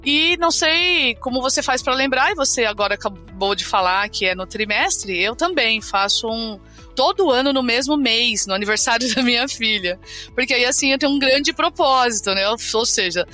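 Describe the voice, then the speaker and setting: A woman's voice: a little high, animated, moderate pace, sharp tone, faint music behind. A woman co-hosting a health podcast, chatty and upbeat, often tagging her remarks with a questioning aside. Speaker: podcast co-host. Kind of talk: casual health podcast chat.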